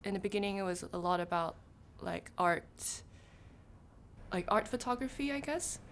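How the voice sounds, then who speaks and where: A young woman being interviewed for a documentary. She talks in a relaxed, easygoing way at an ordinary middle pitch, often pausing and reaching for filler words as she thinks. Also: a little hiss or hum. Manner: relaxed, hesitant, many fillers. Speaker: student interviewee.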